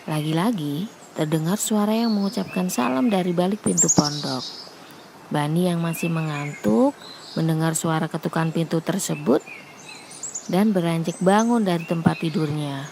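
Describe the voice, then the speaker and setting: A woman's voice: lowish voice, normal speed, vocal fry, noisy background. A woman narrating a scripted children's fable as a storyteller.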